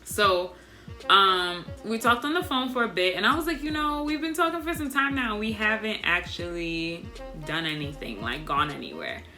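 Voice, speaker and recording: A young woman vlogger telling a dating story to her viewers in a casual, chatty way, speaking softly without projecting. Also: medium pitch, normal speed, faint music behind. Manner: soft, doesn't project, monotone by her own account; chatty and animated, addresses viewers as y'all.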